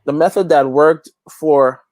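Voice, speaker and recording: A man's voice: fairly high pitch, measured pace, darkish tone, no room sound. A man talking casually as an interview guest, preaching a little and repeating phrases for emphasis. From Guyana. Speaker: interview guest, gospel reggae artist and youth pastor.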